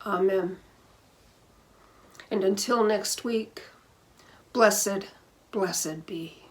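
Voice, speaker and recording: Slow-spoken woman minister leading prayer. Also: mid-range voice. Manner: measured, deliberate, with pauses between phrases. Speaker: minister leading a church service. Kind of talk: slow guided prayer and benediction.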